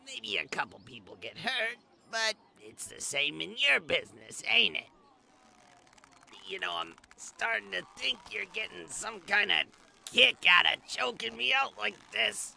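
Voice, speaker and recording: Man acting as small male fairy thief, sly, mocking, high gravelly voice, noisy fantasy audio recording. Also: very animated, unhurried, pushed and bright, exaggerated voice. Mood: sly, cocky. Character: cocky, taunting small male fairy outlaw. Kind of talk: amateur fantasy audio roleplay.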